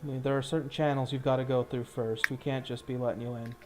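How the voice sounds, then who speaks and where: A man's voice: medium pitch, level tone, fast, music in the background, lightly acted. A man voicing a firm, officious gate guard in a tabletop game, politely stonewalling visitors.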